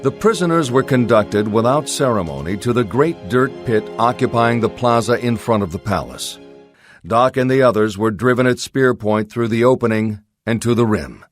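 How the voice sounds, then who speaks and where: A man's voice: medium pitch, moderate pace, music in the background. A man narrating a pulp adventure audiobook in a dramatic, scripted storytelling style.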